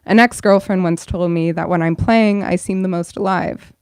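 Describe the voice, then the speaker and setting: A woman's voice: lowish voice, moderate pace, darkish tone, clean tone, dry recording, studio quality. A young woman, a bookstore events director, welcoming an audience and then reading her own fiction aloud at a store event.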